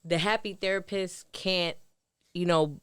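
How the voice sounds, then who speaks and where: A woman's voice: fairly low pitch, steady tone, measured pace, a bit bright, smooth, no echo, studio quality. A woman in her thirties from New Jersey with a Jersey accent. She is a therapist who is a guest on a casual podcast, talking loosely with many fillers. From New York City and central New Jersey.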